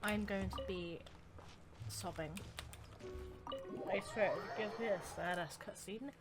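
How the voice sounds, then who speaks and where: A woman streamer with a medium-pitched voice reacts casually to a game. She talks informally and bluntly, with loud game music and keyboard clicks behind her. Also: slow. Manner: informal, blunt, mildly sweary. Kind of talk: casual reaction commentary over gameplay.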